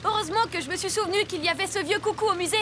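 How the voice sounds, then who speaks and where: A woman voicing an eager, cocky young boy superhero in a cartoon, childlike, energetic and confident. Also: very high-pitched, rapid-fire, very noisy. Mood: cheerful. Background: loud music.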